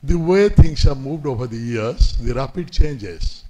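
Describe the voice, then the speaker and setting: A man's voice: mid-range voice, lively intonation, warm tone. Man with giving formal address. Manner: measured, formal, pauses often with "uh". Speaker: guest speaker. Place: indoor university seminar hall. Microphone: podium microphone.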